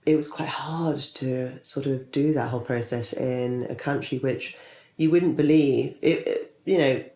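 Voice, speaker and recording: A woman's voice: very deep voice, unhurried, a little mellow. A woman in her forties, a PR consultant and interview guest, chatting casually and rambling with many restarts. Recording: echoey room.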